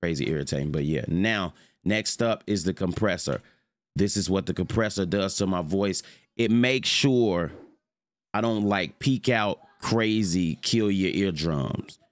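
A young man with a deep voice giving a loose, rambling, slangy tutorial on his streaming setup from his home room. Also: unhurried, no room sound. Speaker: streamer showing mic settings. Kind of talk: casual screen-recorded tutorial.

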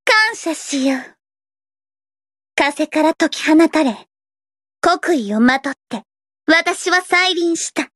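Casting: very high-voiced teen gothic idol girl, anime game, grandiose yet shy, unhurried delivery. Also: lively intonation, fairly bright tone, no echo, clean studio sound, full character voice. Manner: grandiose, dramatic archaic declarations, slipping into shy sweetness. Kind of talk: anime game character voice lines.

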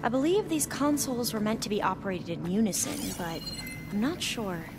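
A woman's voice: mid-range voice, big pitch swings, brisk, loud music behind the voice, lightly acted. An actress playing an earnest, reverent young woman scholar in a video game, speaking formally and carefully. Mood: uncertain. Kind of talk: video game dramatic acting.

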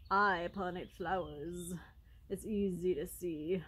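A woman's voice: lowish voice, steady tone, slow pace, fairly bright tone, a little hiss or hum, voice pushed down. A woman voicing a haughty, scornful bee in a storybook, with a dismissive manner. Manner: dismissive, buzzing. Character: haughty, busy bee scolding a rival.

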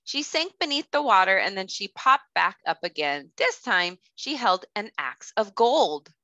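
A woman in her thirties, a kindergarten teacher reading a children's storybook aloud with careful, expressive delivery. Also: medium pitch, a little slow, no echo, dull audio. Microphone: headset.

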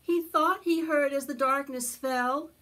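An older woman hosting preschool storytime, reading a picture book aloud with expressive, drawn-out phrasing. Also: high-pitched. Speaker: library preschool storytime host. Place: small indoor space with decorated backdrop.

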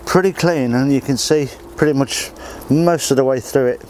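A man's voice: mid-range voice, normal speed, darkish tone, slight background noise, low-quality recording. A middle-aged man, casually showing how he does a garden job while he works, outdoors on an allotment. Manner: plain, practical, talks while working.

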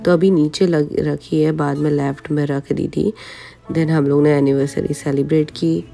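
Indian lady doing a vlog voiceover, hoarse sore throat, low flat gravelly voice. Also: moderate pace, faint music behind. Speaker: vlogger. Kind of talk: voice-over narration.

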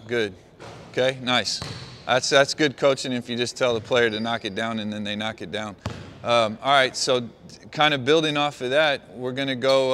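A middle-aged man, a basketball coach, walking his players through a play on the court and calling out quick praise. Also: medium pitch, a bit bright, a little hiss or hum. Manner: talks players through a walk-through, calls out praise. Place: large indoor gym.

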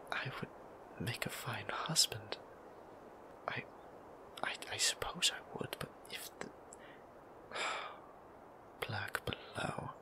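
Brief: male actor as a tender, old-fashioned vampire priest; low-pitched, unhurried, whispered ASMR roleplay. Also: poor audio. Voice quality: soft whisper, gravelly. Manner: formal, old-fashioned phrasing, gently teasing. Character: gentle formal male vampire priest, doting.

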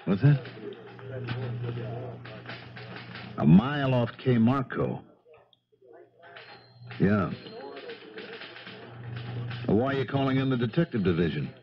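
An actor in a 1970s radio drama playing a businesslike police lieutenant with a clipped, matter-of-fact manner. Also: male voice, medium pitch, lively intonation, slow pace, long pauses. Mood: brisk, intent. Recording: muffled 1970s radio recording.

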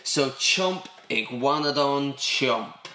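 Slow, echoey man reading a picture book. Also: medium pitch, expressive, pushed and bright. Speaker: school staff reader. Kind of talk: children's picture book read-aloud. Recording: roomy, echoey sound.

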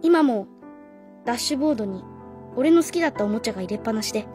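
A woman's voice: a little high, animated, rapid-fire, over music, close to an everyday voice. A woman playing a lonely, stubbornly trusting young schoolboy in a radio drama. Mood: wistful, earnest. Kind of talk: Japanese radio drama acting.

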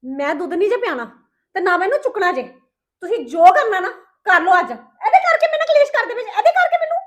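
A woman's voice: very high-pitched, big pitch swings, a little fast, fairly bright tone, heavily acted. A woman playing a bitter, anguished young mother in a domestic melodrama, reproachful and defiant. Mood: defiant, exasperated. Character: bitter, anguished young mother rejecting her crying baby daughter. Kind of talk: melodramatic domestic drama acting.

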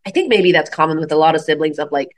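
Low-pitched woman from Bangladesh, chatty storyteller. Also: quick pace. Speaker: comedian, interview guest. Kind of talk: casual conversational interview.

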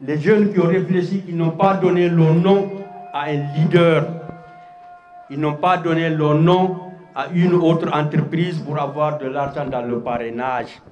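Slow-spoken older Guinean man. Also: fairly high pitch, steady tone, a little mellow, lo-fi sound, faint music behind. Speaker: community elder giving a speech. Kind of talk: public tribute speech. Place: public gathering in a covered hall. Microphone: handheld microphone.

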